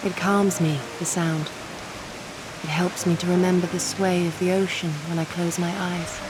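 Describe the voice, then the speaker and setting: A woman acting as an earnest young female shinobi in a game cutscene. Her voice sits fairly low for a woman, and she speaks with formal, measured gravity and loyal conviction, over music. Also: level tone, natural voice, poor audio. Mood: quiet, reflective. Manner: formal, measured, theatrical speech. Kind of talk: dramatic video game acting.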